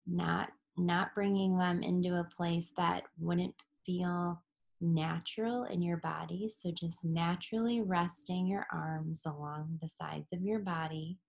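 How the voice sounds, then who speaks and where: Woman, yoga nidra teacher, extremely slow pace, lowish creaky voice, soothing guided meditation, breathy endings. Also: darkish tone. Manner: soothing, unhurried, with long pauses.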